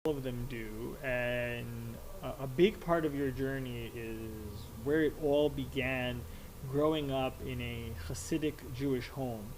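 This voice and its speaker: Guy hosting a podcast, measured and a bit hesitant, mid voice, slight hum. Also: medium pitch, low-quality recording. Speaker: podcast host, psychiatrist. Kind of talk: interview questions.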